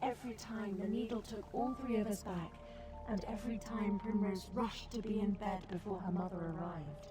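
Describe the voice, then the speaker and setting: An actress playing a secretive, tender guardian who narrates a tragic tale in a measured, solemn way. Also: female voice, medium pitch, steady tone, over loud music, natural voice. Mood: solemn, wistful. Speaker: story narrator. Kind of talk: scripted dramatic narration.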